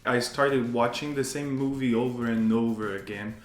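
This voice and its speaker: A man with a Brazilian accent, native in Portuguese, answers casually as an interview guest, repeating words for emphasis. His voice is mid-pitched, and the recording is roomy, with music behind him. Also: normal speed. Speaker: English teacher, interview guest.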